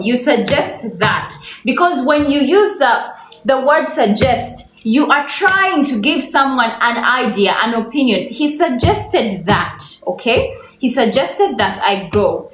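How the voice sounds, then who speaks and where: A woman's voice: on the high side, fairly bright tone. A young woman from Uganda teaching English on a livestream. She stretches out her example sentences and keeps asking viewers for the correct answer. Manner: slow, drawn-out example sentences, repeated questions to viewers. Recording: echoey room, faint background noise.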